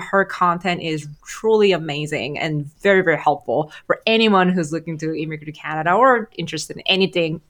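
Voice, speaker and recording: A woman's voice: medium pitch, a bit bright. A woman hosting a podcast, introducing and questioning her guest in an eager, enthusiastic way. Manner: eager, enthusiastic, many fillers. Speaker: podcast host. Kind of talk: casual interview chat.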